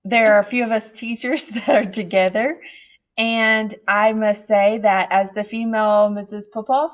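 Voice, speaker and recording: A woman's voice: medium pitch, fairly even intonation, measured pace, dull audio. A woman with a Southern accent, a teacher introducing a class reading, who laughs between phrases. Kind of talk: casual introduction.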